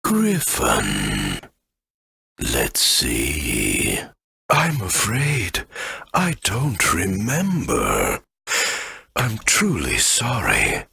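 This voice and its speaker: An extremely low, husky ancient tree spirit, kindly and forgetful, for a video game. The delivery is slow and halting, swinging widely in pitch as he ponders. The sound is dry and close. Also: sharp tone, dry recording, heavily acted. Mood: apologetic, forgetful. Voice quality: deep gravelly, husky. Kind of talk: video game character acting.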